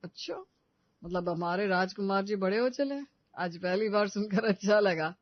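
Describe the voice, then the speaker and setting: Man as middle-aged cartoon dad, affectionate and teasing, high-pitched voice, dull dry recording. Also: normal speed, no echo, natural voice. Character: middle-aged Indian father and husband, caring, teasing. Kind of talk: Hindi moral story cartoon acting.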